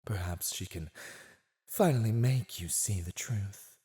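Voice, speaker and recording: Villain, played by a male actor, ASMR roleplay: low-voiced, very unhurried, theatrical, teasing and smugly persuasive toward his captive. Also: dark tone, close to an everyday voice. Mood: scornful, disdainful. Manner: condescending, theatrical, seductively persuasive. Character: silver-tongued, teasing male villain gloating over a captive. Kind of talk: scripted ASMR roleplay acting.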